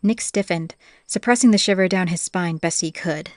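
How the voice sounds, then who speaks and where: A woman's voice: fairly low pitch, moderate pace, darkish tone, no echo, clean studio sound. A woman reading scripted fantasy audiobook narration as the storyteller.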